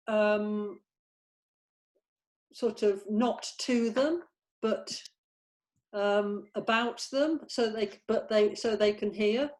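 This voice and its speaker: A woman in a casual script discussion, thinking aloud as she offers suggestions to the group. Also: mid-range voice. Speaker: participant in script table read.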